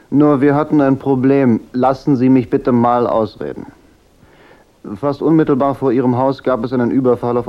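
A man's voice: mid-range voice, close to an everyday voice. Guy playing a cool detective in a radio play, wry comebacks, nothing rattles him, sound a bit muffled. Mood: firm, businesslike. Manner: dry, wry, unruffled retorts. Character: cool, unflappable male private detective. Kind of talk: German radio crime drama acting.

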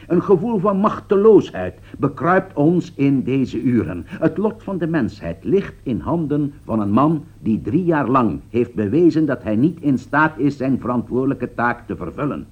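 An actor playing a solemn political commentator in a radio play, delivering formal, rhetorical commentary with foreboding gravity. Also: male voice, mid-range voice, very animated, normal speed, few pauses, husky. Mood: grave, foreboding. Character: grave male radio political commentator foretelling catastrophe. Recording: dull, lo-fi radio play recording.

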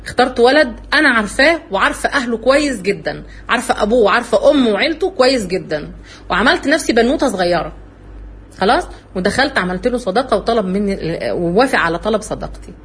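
A woman with an Egyptian Arabic accent giving an earnest religious talk, telling her own story in an animated way. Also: on the high side, quick pace, breathy edge. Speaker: religious speaker.

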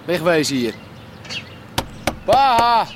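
An actor playing a rowdy, coarse son who calls out loudly and aggressively in a radio drama. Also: male voice, high-pitched, very animated, very slow, noisy background, exaggerated voice. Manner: calling out loudly, coarse, threatening.

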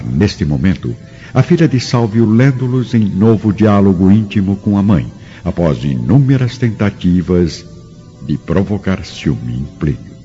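A man's voice: deep voice, dark tone. A man with a Brazilian accent giving dramatic scripted narration for a radio drama. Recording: muffled radio production with music.

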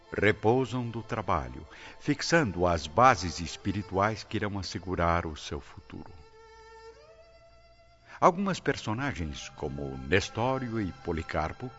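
A man with a Brazilian accent narrates a radio drama. His voice is very deep and his delivery solemn and literary. Music plays behind a somewhat dull recording. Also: fairly bright tone.

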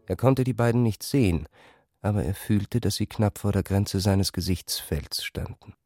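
A man's voice: fairly low pitch, normal speed, warm tone, no room sound, clean studio sound. A man reading scripted literary narration for a radio production.

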